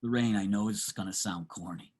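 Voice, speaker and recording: A husky-voiced man acted by a man at a table reading, down on his luck and hopelessly in love. He speaks plainly and haltingly, repeating himself as he earnestly pleads his case. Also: lowish voice, darkish tone. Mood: earnest pleading. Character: lovestruck, pleading down-and-out man, plain-spoken war veteran.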